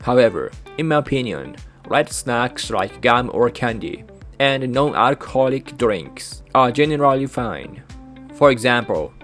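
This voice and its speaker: A man with a Japanese accent, a native Japanese speaker, hosting a channel and narrating a scripted guide in even, careful short phrases. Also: medium pitch. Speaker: channel host.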